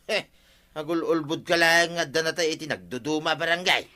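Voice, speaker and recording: An actor playing a reproachful villager in an Ilocano radio comedy, needling the treasurer in an Ilocano accent. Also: male voice, a little high, a little fast, pushed and bright.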